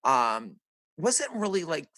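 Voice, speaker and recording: A man in his thirties hosting a podcast, chatting casually with lots of fillers, his voice deeper and raspier than usual today. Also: big pitch swings, slow pace, sharp tone, no room sound, studio quality. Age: 30s. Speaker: podcast host. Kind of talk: casual chat.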